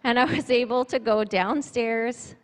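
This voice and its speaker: Female church speaker, middle-aged, slightly high voice, earnest read-from-notes delivery, handheld mic with long room reverb. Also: fairly even intonation, measured pace. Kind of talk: sermon with personal testimony and scripture reading. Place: church stage before a seated audience, indoors. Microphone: handheld microphone. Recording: reverberant hall, amplified church sound.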